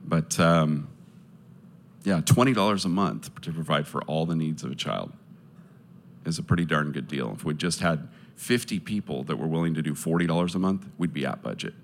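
Low-voiced man giving an earnest testimony. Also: moderate pace, a little hiss or hum. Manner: earnest, hesitant, many fillers and restarts. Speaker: speaker sharing testimony and orphanage appeal. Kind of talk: casual testimony talk to a live audience.

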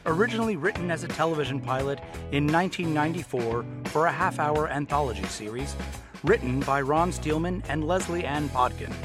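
A man's voice: fairly high pitch, fairly quick. A man announcing a radio drama in a theatrical, playful manner, reading scripted narration. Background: music.

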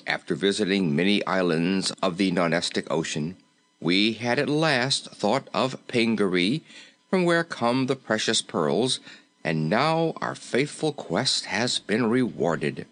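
A man's voice: on the low side, lively intonation, a little slow, a bit bright. A man voicing a respectful, firm royal courtier in a storybook, formal and deferential yet insistent. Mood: earnest. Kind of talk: storybook character voice.

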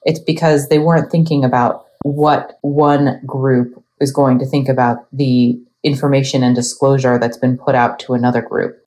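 A woman's voice: rumbling low, little pitch movement, normal speed, hardly any pauses, mellow, rough recording. A woman who advises corporate clients, talking in a professional panel discussion.

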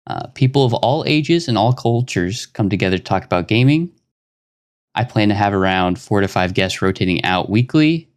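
A man, hosting a casual gaming podcast in an earnest, steady way with the odd filler pause. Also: deep voice, clear, resonant tone. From Arkansas, United States. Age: 20s. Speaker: podcast host.

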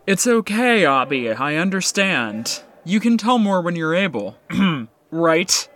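An actor playing a gentle, patient, encouraging male companion in an audio drama. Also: sits high, very animated, slow, full character voice. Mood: gentle concern. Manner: soft-hearted, encouraging.

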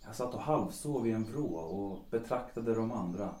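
An actor playing a cynical, self-destructive bohemian composer in a period audio drama, world-weary and mocking, with self-pity underneath. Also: male voice, on the low side, animated, darkish tone, natural voice. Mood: weary, dreamy. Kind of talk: period stage-play audio drama acting in Swedish. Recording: roomy, echoing sound.

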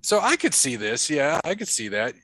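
Bright, dry-voiced man telling stories. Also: fairly high pitch, very animated, normal speed, dry recording, rough recording. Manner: rambling storyteller, laughs often. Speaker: podcast host. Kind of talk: casual chat.

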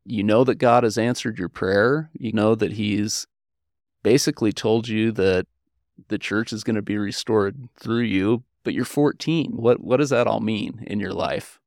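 A man speaks as a historian guest, explaining in a conversational way. His voice sits a bit low with a gravelly creak, and the sound is very dry, free of room echo. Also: measured pace, darkish tone.

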